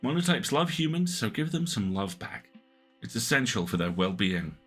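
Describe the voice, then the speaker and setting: A man reading interactive fiction aloud on a stream, with measured, atmospheric narration. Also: medium pitch, big pitch swings, fairly bright tone. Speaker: game narrator.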